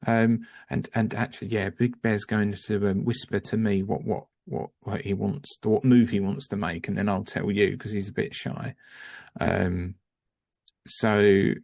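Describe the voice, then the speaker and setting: A man's voice: fairly low pitch, level tone, measured pace, gravelly, darkish tone, dry recording, clean studio sound. A man talking as a guest on a casual video call, rambling and often restarting his sentences, sometimes apologizing for going on. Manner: rambling, self-interrupting, apologetic. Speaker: guest, home-educating parent.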